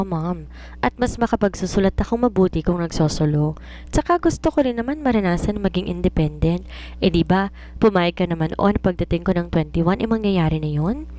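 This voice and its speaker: A woman's voice: lowish voice, lively intonation, normal speed, darkish tone, natural voice. A woman voicing a young, independent romance writer who is teasing and assured, chatting with English phrases mixed in. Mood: coaxing, reasoning.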